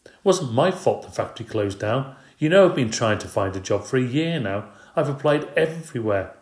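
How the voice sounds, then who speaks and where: A man's voice: medium pitch, lively intonation, normal speed, darkish tone, reverberant, voice pushed up, natural voice. A man reading aloud, voicing a weary unemployed father who defends himself.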